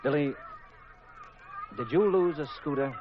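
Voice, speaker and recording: An actor playing an affable, sly married detective in a 1950s radio mystery, easygoing and given to mock solemnity. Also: male voice, fairly high pitch, unhurried, a loud crowd, close to an everyday voice. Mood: friendly coaxing. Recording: muffled vintage radio recording.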